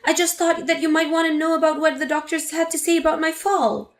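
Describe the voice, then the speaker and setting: A woman's voice: high-pitched, fairly even intonation, fairly quick, faint music behind. A woman voicing a pregnant young wife who sounds hurt.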